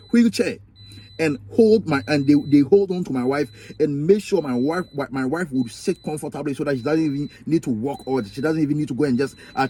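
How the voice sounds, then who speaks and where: Young Ghanaian man, husky mellow voice, emphatic repetitive storyteller, vlog. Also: a little high, dry recording. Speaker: vlogger.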